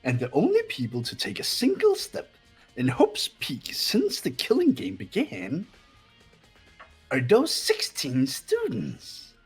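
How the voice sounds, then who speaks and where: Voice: medium pitch, wildly swooping pitch, takes it slowly, breathy edge, faint music behind, exaggerated voice. A performer voicing a gleeful, mocking robotic bear mascot villain in a video game fan dub, with a sing-song, taunting manner.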